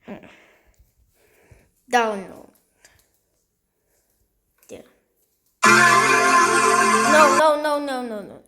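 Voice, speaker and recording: A woman with a high, bright voice talks through a casual screen-recording tutorial. She is hesitant and repeats herself, muttering and getting easily exasperated. Her delivery is very slow, with long gaps between short phrases. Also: animated, faint music behind. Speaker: video tutorial creator.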